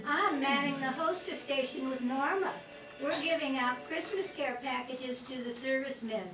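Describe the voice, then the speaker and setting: A woman playing an earnest, helpful wartime working woman in a 1940s-set radio play, speaking deliberately. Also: high voice, natural voice. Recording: echoey room, distant mic, music behind.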